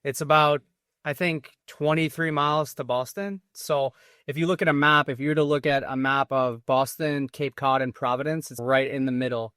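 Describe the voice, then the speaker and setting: A man's voice: mid-range voice, fairly even intonation, moderate pace, a bit bright, smooth, no room sound, studio quality. A man in his forties with a Massachusetts accent, a podcast guest chatting casually and rambling through his stories with many restarts. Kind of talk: casual interview talk.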